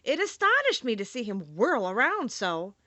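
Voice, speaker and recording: A woman's voice: a little high, wildly swooping pitch, moderate pace, sharp tone, no room sound, exaggerated voice. A woman reading aloud and voicing a big male lion who is a sorrowful coward, in a plaintive, self-pitying manner. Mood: mournful. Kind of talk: audiobook character reading.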